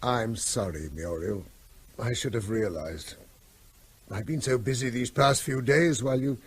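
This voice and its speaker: An actor playing a harried, evasive vet and husband in a radio drama, placating and dismissive. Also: male voice, medium pitch, unhurried, breathy edge. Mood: apologetic. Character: evasive, harried male vet avoiding marital argument.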